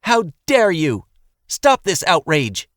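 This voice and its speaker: A man voicing a piercing, shrill woman mage. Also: huge pitch swings, slow pace, a bit bright, no echo, clean studio sound, exaggerated voice. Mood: outraged. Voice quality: piercing, metallic. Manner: outraged shrieking. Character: shrill indignant woman mage, weak and fragile, teacherly.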